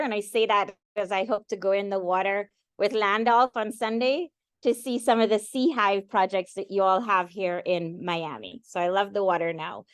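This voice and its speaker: A middle-aged woman from Guyana giving a conversational engineering lecture with slides, often pausing with fillers and restarting her sentences. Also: medium pitch, dry recording. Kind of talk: academic talk with slides.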